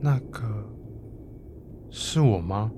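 A man voicing a cold, withdrawn high school boy who answers curtly in few words. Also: slow, noisy background. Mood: lost. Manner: curt, flat, few words.

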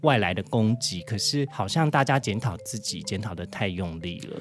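Man, Taiwanese Mandarin accent, light effeminate voice, lively swings in pitch, rapid delivery, casual podcast guest. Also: soft background music. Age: 30s.

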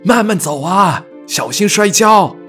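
A man's voice: high voice, lively intonation, a little slow, a bit bright, soft background music. A man playing a worried, courteous middle-aged father in a radio drama. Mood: worried, fretting.

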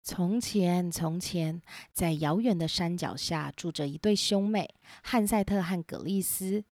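A woman's voice: on the low side, measured pace, darkish tone. A woman host narrating a scripted children's story.

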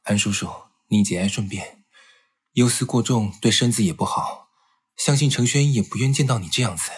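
An actor playing a composed, considerate young man in an audio drama, measured and polite. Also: on the low side, breathy edge. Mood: courteous, sympathetic. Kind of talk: Mandarin audio drama acting.

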